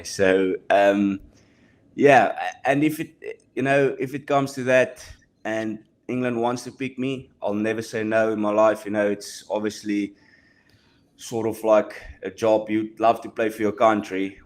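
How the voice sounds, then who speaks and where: Modest young South African man, hesitant. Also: lowish voice. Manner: modest, hesitant, many restarts. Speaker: rugby player guest. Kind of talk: casual podcast chat.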